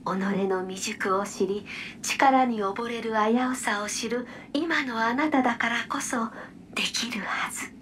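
A woman voicing a wise, calm old witch mentor in a game, speaking in a measured, formal way. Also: fairly high pitch. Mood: calm, grave. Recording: distant voice with faint noise.

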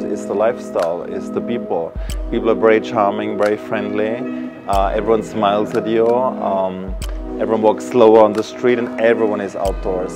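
A man speaks casually, as if answering an interviewer. His voice sits fairly low, and music plays clearly underneath. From Austria. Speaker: hotel manager being interviewed.

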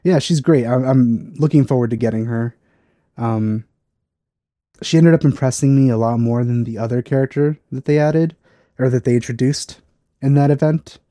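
A man's voice: mid-range voice, moderate pace, mellow, no room sound. A man in his thirties giving casual reaction commentary in a hesitant, rambling way, recorded close on a headset mic. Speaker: YouTube reaction host. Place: indoor room, close to the mic.

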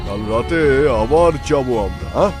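A man's voice: mid-range voice, sing-song, moderate pace, close to an everyday voice. A man voicing a scheming, bossy leader of village cattle thieves in a children's cartoon, played as a theatrical villain. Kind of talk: Bengali children's cartoon dialogue. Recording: music behind the voice.

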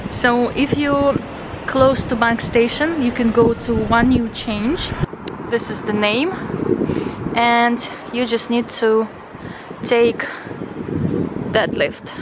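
A woman's voice: on the high side, heavy background noise. A young woman from Ukraine, speaking English as a second language, chatting enthusiastically to her viewers while filming herself with a handheld camera. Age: young adult. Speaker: vlogger. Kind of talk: casual vlog narration. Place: city streets and a restaurant, indoors and out. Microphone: handheld camera at arm's length. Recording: loud background music.

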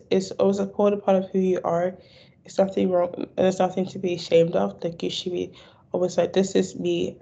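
A woman's voice: fairly low pitch, creaky, warm tone, husky. A young woman who stammers, repeating words and restarting, answering questions in a video call interview. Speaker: stammering awareness advocate. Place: indoor room. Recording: dull lo-fi video call audio.

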